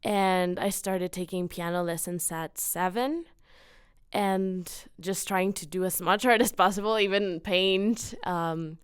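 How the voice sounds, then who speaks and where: Girl in her late teens from mexico, slow talker, smooth voice, earnest n excited interview chat. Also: fairly low pitch, dry recording, studio quality. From Cancun, Mexico. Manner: enthusiastic, earnest. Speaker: podcast guest, student singer-songwriter. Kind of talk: casual interview answers.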